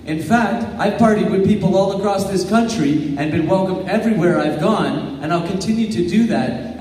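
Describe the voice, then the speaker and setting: A man's voice: fairly high pitch, darkish tone, slight background noise. Earnest man from Guyana, distant echo. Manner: personal, measured and earnest. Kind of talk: public debate answer. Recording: echoey hall from far off.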